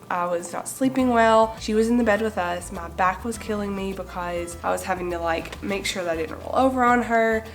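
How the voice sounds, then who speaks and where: A young woman in her twenties with a cold, a little raspy and nasal, chatting to camera about her babies in a casual home vlog, gesturing as she talks. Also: mid-range voice. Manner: chatty, gestures with hands while explaining. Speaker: mom vlogger. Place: bright living room indoors.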